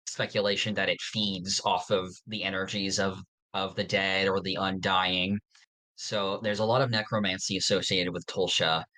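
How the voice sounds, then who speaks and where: Low voiced podcast host nerding out earnestly, casual chat, kinda hesitant explaining. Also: sharp tone, rough recording. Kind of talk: casual fan theory discussion.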